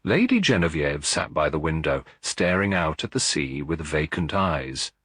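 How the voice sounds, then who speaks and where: A man narrating a detective story in a measured, dramatic audiobook style. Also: extremely low pitch, big pitch swings, fairly bright tone, breathy edge, no echo, clean studio sound.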